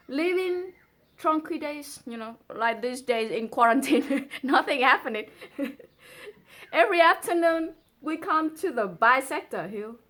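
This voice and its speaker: Bright-voiced Vietnamese woman, casual and playful. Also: high-pitched. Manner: playful, giggly asides. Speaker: presenter reading a translated poem. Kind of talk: casual chatty poetry reading with asides.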